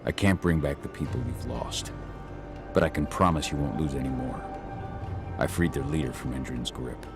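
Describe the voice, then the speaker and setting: A man's voice: rumbling low, moderate pace. An actor playing a grim, determined werewolf warrior and father in a video game, earnest and resolute. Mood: somber. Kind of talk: scripted video game acting. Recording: game cutscene with music underneath.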